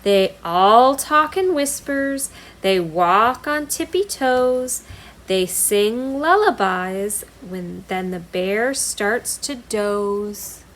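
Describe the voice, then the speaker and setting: A woman hosting a storytime for young children, speaking in a deliberate, singsong way. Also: mid-range voice, animated, a bit bright, rough recording. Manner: singsong, deliberate, repetitive phrasing for small children. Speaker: children's library storytime host. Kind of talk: storytime read-aloud and simple activity for young children.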